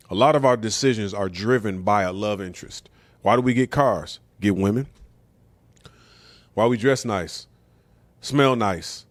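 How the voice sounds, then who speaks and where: A middle-aged man with an American accent and a medium-pitched voice holds forth in a casual monologue. He is blunt and slangy, often tossing out rhetorical questions. The sound is dry, with little room in it. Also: no room sound. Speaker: comedian and talk show host.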